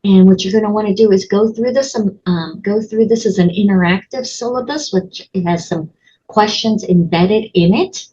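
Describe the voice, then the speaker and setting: A woman's voice: mid-range voice, normal speed, mellow, slightly rough, lo-fi sound. A woman college instructor giving a casual walkthrough of her course, chatty and full of ums and restarts. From Connecticut, USA. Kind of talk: screen-recorded course walkthrough.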